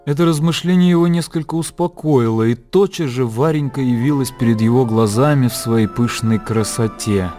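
A man narrating a literary radio drama in a measured storytelling manner. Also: medium pitch, mellow, soft background music.

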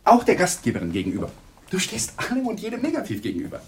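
A man's voice: sits high, huge pitch swings, racing, darkish tone, breathy edge, heavily acted. An actor playing a brooding lawyer husband sick with flu in a German radio play from the 1980s, polite to guests and defensive toward his wife. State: ill with flu.

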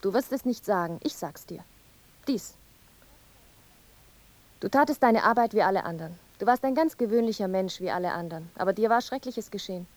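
A woman's voice: medium pitch, lively intonation, a little fast, pauses often, close to an everyday voice. Mournful, visionary young wife played by a woman. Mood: insistent. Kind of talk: poetic radio play acting.

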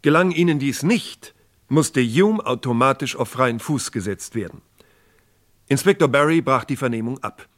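A man narrating a scripted German radio crime drama in a measured, factual manner. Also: medium pitch, expressive.

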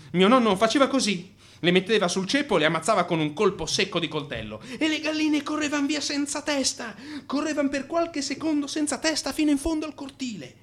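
An actor playing an anxious, brooding married man in crisis, in an intimate, halting radio monologue. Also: very high-pitched, animated, fairly bright tone. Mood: agitated reminiscing.

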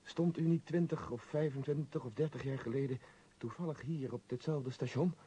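A man's voice: mid-range voice, moderate pace, warm tone, slight background noise, lightly acted. An actor in a radio play voicing an earnest young man, urgent and intense, with a declamatory delivery. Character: earnest young man weighing his future selves, urgent. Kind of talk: radio drama acting.

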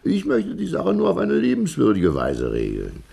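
An actor in a 1960s German radio crime drama playing a smug blackmailer posing as a major, insinuating and mock-friendly. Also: male voice, a little high, wildly swooping pitch, moderate pace, vocal fry, mellow, slightly rough, full character voice. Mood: silky menace. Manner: oily mock-friendly, insinuating, chuckling menace. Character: smug male criminal posing as a major, menacingly genial blackmailer.

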